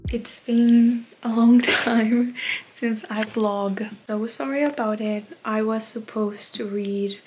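A young woman from Spain, speaking English, chatting candidly and often softly about books in her bedroom, filmed at arm's length. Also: fairly high pitch, steady tone, takes it slowly, music in the background, low-quality recording. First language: Spanish. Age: young adult. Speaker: book vlogger. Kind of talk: casual vlog chat. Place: bedroom at home. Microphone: on-camera mic, camera at arm's length.

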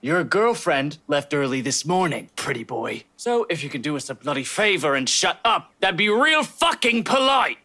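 A man's voice: on the high side, big pitch swings, moderate pace, hardly any pauses, pushed and bright, full character voice. An actor playing a grumpy, sarcastic, foul-mouthed male neighbor in a visual novel game. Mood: sneering irritation. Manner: sarcastic, irritable.

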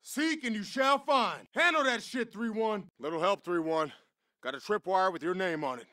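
A man's voice: high-pitched, normal speed, sharp tone, dry recording, clean studio sound. An actor playing a tough, confident American Marine squad leader in a video game, commanding his men in clipped, salty military jargon. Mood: low, wary. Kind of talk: video game combat dialogue.

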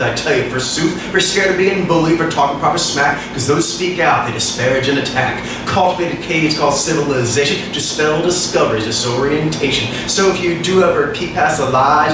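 A man in his thirties performing rhymed spoken-word poetry in a declamatory, rhythmic way, at a stand microphone in a small indoor venue. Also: on the high side. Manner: declamatory, emphatic, rhythmic delivery. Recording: echoey live room, distant mic, clear noise.

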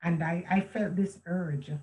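A woman with a somewhat low voice speaks earnestly and reflectively. She tells a personal story as a guest on a webcam call from a room at home. She often restarts her sentences and slips into quoted speech. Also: steady tone, moderate pace, mellow. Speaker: author and guest. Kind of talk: storytelling in a video call interview. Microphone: video call webcam.

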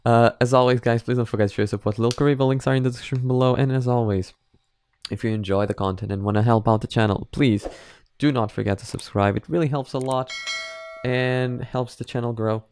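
A man's voice: medium pitch, steady tone, fairly quick, a little mellow, slight background noise. A man in his twenties chatting casually as a reaction channel host, repeating himself for emphasis, close to a microphone at home. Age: 20s. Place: small home room, indoors. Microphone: microphone on boom arm, close.

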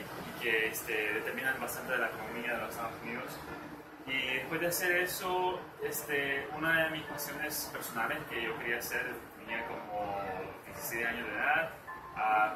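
Young man, mid-pitched voice, halting with fillers, far from the mic, roomy living room, music behind. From El Salvador, raised in California. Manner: hesitant, many fillers like este and eh. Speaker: actor, interview guest. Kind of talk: casual interview. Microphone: far camera.

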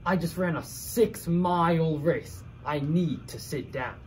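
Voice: mid-range voice, takes it slowly, a little hiss or hum, lightly acted. A student performer playing a weary, aching puppet in a comedy skit. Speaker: student puppeteer in a school drama show.

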